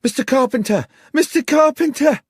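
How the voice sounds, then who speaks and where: A man's voice: moderate pace, dry recording, studio quality. A man voicing a frantic young woman who bursts in screaming with bad news. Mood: panicked. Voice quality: shrill, screaming. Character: frantic young woman barmaid, shrill and panicked.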